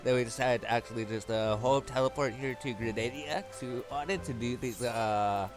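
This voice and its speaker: Halting man over loud game music. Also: medium pitch. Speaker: gaming video host. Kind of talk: casual gameplay commentary.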